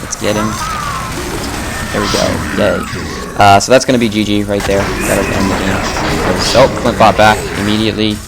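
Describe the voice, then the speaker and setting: A man's voice: fairly low pitch, little pitch movement, normal speed, vocal fry. A man giving casual, rambling live commentary over his own match, often correcting himself and addressing viewers as guys. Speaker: gameplay commentator. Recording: loud game music behind voice.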